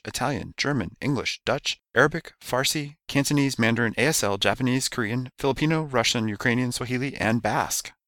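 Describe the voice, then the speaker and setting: Guy reading a fake ad deadpan, kinda low voice, talks really fast. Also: no echo, clean studio sound. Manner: deadpan sales pitch, rattling off disclaimers at high speed. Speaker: announcer. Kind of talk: mock advertisement narration.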